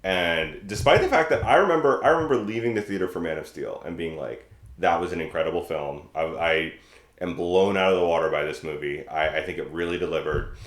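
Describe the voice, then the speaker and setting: A man's voice: low-pitched, brisk, a bit bright. A man speaking as a podcast co-host in casual chat, earnest and opinionated. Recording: echoey room.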